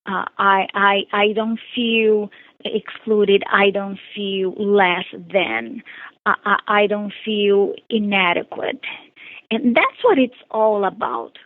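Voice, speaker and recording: Brazilian-accented woman, deliberate guest speaker, dry phone-line audio. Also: medium pitch, dry recording. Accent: Brazilian Portuguese. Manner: earnest, deliberate, repeats key phrases. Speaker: author and guest. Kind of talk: radio interview.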